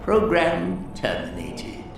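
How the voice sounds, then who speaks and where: A man voicing a wise, solemn alien mentor in a video game, deliberate and portentous. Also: on the high side, big pitch swings, gravelly, exaggerated voice. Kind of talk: video game cutscene acting. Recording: heavy echo, distant, faint music.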